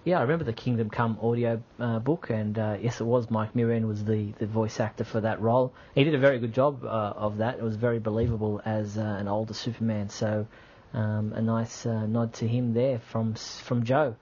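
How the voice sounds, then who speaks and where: A man's voice: lowish voice, steady tone, fairly quick, a little mellow, slight background noise. A man co-hosting a radio show, chatting casually. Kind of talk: casual talk show chat. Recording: dull lo-fi audio.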